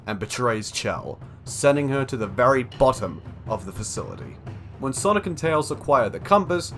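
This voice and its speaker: A young man narrates a scripted video essay. His medium-pitched voice moves up and down in a lively way, with music playing behind him.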